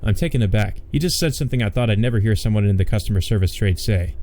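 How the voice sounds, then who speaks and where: Dude doing a visual novel lets play, mellow lowish voice, reads the text n chats casually. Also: male voice, quick pace. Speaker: let's play host.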